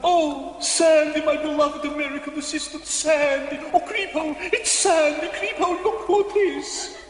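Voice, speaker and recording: A man's voice: extremely high pitch, steady tone, moderate pace, soft background music. A British man playing a pompous, bossy professor adventurer in a theatrical, melodramatic radio comedy style. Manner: theatrical, exclamatory.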